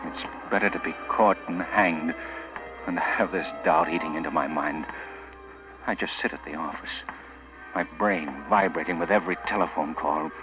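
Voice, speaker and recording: A man's voice: low voice, moderate pace, close to an everyday voice. An actor playing an anguished, guilty man on the run in a 1940s radio drama. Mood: brooding torment. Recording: muffled old radio recording with music.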